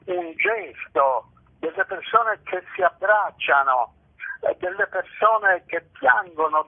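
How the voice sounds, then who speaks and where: An older man answering reflectively in a radio interview, halting and repeating phrases for emphasis. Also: sits high, creaky. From Italy. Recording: tinny band-limited phone line.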